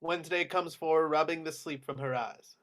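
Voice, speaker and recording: A man narrates a screenplay at a table read. His voice sits a bit higher than average. He reads out scene headings and action descriptions as scripted narration. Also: normal speed, a bit bright.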